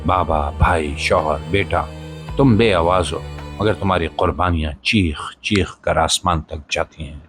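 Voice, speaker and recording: A man narrates a scripted spoken-word monologue in a solemn, emphatic, rhetorical manner, with short pauses between phrases. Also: sits low, a bit bright. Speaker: podcast host and voiceover narrator. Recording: voice-over with music behind.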